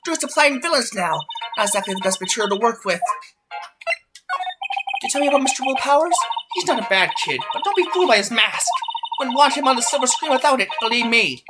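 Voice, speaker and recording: A man voicing a nosy, bossy old female studio security guard, ranting fast and scolding, in a one-person fan dub. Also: very noisy. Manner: shrill fast-talking scolding rant.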